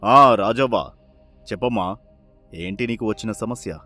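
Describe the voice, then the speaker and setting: A commanding village headman from a moral-story cartoon, acted by a man, speaking in a lowish pitch with formal, fatherly authority. Music plays behind him. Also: unhurried, bright, forceful tone. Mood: attentive.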